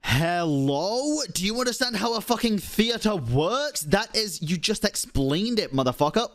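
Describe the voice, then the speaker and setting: A man's voice: high-pitched, very animated, a little slow. A man streaming a video game, giving casual, sweary commentary in a blunt, sarcastic, heckling way.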